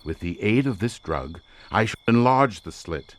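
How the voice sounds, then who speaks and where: Obsessed occult researcher, swooping delivery. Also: male voice, fairly low pitch, lively intonation, measured pace, no echo. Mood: rapturous. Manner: grandiloquent, formal, theatrical. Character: obsessed, intense male occult researcher, imperious and fervent. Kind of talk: dramatic audio drama acting.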